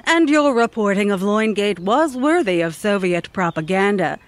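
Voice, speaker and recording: A woman's voice: mid-range voice, lively intonation, normal speed, dry recording, close to an everyday voice. A woman playing a smug, scheming office worker in an audio theatre comedy.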